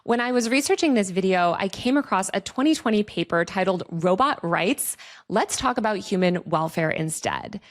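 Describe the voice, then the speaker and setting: A woman's voice: medium pitch, animated, normal speed. A woman presenting a scripted video essay in a conversational, wry, opinionated way, with casual asides. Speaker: video essayist, online culture commentator.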